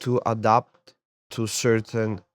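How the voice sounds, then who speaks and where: A man's voice: lowish voice, little pitch movement, takes it slowly, no room sound. A man from Poland, a sports therapist and MMA coach, explaining training and recovery in a loose, chatty way. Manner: explanatory, many fillers and restarts. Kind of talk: casual interview.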